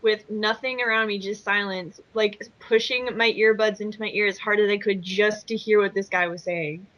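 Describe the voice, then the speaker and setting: A woman's voice: medium pitch, steady tone, moderate pace, fairly bright tone, lo-fi sound. A voice actress chatting casually about recording her role in a fan dub. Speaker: voice actress in the fandub. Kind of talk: casual group commentary.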